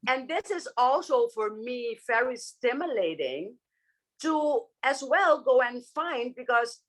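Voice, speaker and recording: Fairly high-voiced woman, searching for words. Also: expressive, takes it slowly. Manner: hesitant, searching for words, many restarts. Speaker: guest psychotherapist. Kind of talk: casual spiritual conversation.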